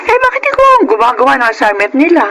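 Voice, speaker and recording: A woman's voice: sits high, huge pitch swings, racing, bright, forceful tone, exaggerated voice. An actress playing a worried, protective middle-aged mother in a Filipino radio drama. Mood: scolding, concerned. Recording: noisy radio drama audio.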